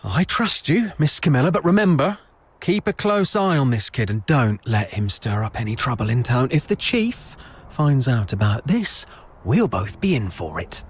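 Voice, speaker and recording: An actor playing an officious, jeering town gate guard in a video game, taunting and blustering. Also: male voice, medium pitch, animated, normal speed, breathy edge. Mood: grudging, warning.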